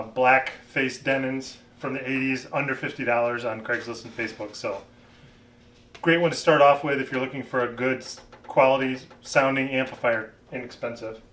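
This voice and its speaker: A man giving a casual, unscripted gear review, thinking aloud in a halting way as a vlogger. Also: medium pitch, pushed and bright, a little hiss or hum. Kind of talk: casual unscripted product talk. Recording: roomy echo, distant microphone.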